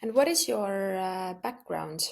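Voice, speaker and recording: A woman hosts a podcast with a gravelly edge to her voice. She asks her questions in a measured, polite way. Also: medium pitch, animated. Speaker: podcast host. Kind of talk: interview questions.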